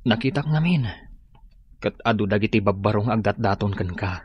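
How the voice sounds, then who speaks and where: A man's voice: fairly low pitch, a little fast, breathy edge, no echo, highs cut off. A man playing an earnest, lovestruck suitor in a radio drama, tender and pleading. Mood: soft pleading. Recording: radio drama with music behind.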